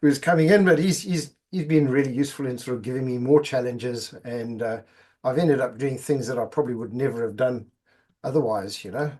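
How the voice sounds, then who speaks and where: A man's voice: medium pitch, fairly quick, mellow. A middle-aged man from Zimbabwe, a podcast guest chatting casually and often repeating words as he goes. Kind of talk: casual interview chat.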